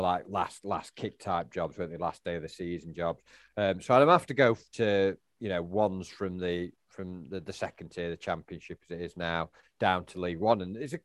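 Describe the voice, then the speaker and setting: A middle-aged man, his voice sitting fairly low. He meanders through answers in casual conversation, full of "um"s and false starts. The sound is close and dry, with no room around it. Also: no room sound. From England. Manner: rambling, hesitant with many restarts and fillers. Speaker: podcast co-host. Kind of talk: casual chat.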